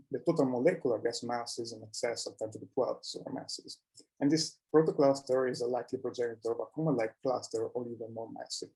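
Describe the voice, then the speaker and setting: A man presenting research in a scientific conference talk, with a halting delivery that often repeats words. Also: medium pitch, mellow, rough recording.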